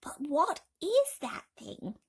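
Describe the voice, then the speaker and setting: A woman voicing an excitable, wide-eyed female ladybird in a storybook, with breathy, urgent exclamations. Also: high voice, slow, pitched above the performer's own voice, heavily acted. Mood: anxious wonder.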